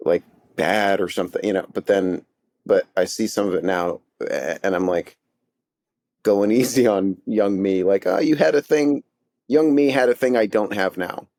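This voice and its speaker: Lowish halting self-deprecating man. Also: a little mellow, dry recording, studio quality. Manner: halting and self-deprecating, many restarts and fillers. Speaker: actor. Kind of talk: casual podcast chat.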